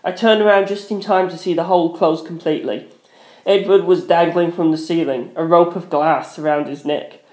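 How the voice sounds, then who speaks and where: A man's voice: fairly high pitch, fairly even intonation, moderate pace, a little mellow. A man reading a first-person story aloud as a dramatic narrator. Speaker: audiobook-style narrator. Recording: roomy echo.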